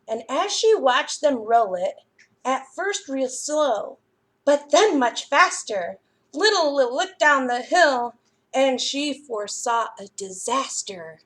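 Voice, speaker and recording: A woman with a high-pitched voice leads a story time for young children. She reads at a slow pace, setting out each phrase deliberately. Her rhymes come out in a sing-song lilt, as a children's storyteller would read them. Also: lively intonation, fairly bright tone.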